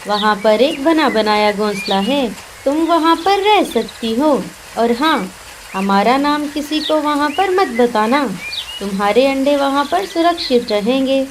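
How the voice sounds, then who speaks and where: Spiteful lady crow, female actor, high voice, smug and scornful, children's cartoon, faint noise. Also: expressive, measured pace. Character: sly, spiteful, self-satisfied female crow. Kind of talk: Hindi children's cartoon voice acting.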